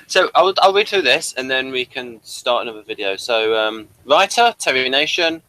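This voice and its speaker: Lively, bright-voiced man hosting a review. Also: mid-range voice, normal speed, dry recording, rough recording. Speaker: host of a TV series review. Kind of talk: casual review, reading from notes.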